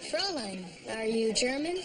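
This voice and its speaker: Sitcom dame: brassy wartime girl singer, high voice, wisecracking, music behind. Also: sing-song, normal speed. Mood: sassy. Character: brassy, sassy 1940s nightclub girl singer.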